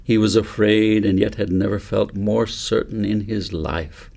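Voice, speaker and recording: A man narrating a novel as its author, in measured, deliberate phrases with pauses. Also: fairly low pitch, fairly even intonation, creaky, a little mellow. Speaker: author reading his novel. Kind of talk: scripted audiobook narration.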